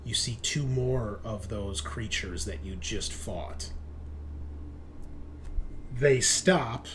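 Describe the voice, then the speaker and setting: A man with a fairly low voice narrates a tabletop game as its game master. He improvises deliberately, leaving pauses as he goes. There is faint noise behind him.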